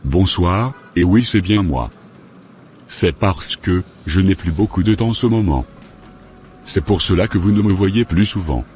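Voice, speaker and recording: Deep-voiced straying husband in a cartoon played by a man, stubborn, faint noise. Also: animated, a little slow, a little mellow. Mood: casual. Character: unfaithful married churchgoer.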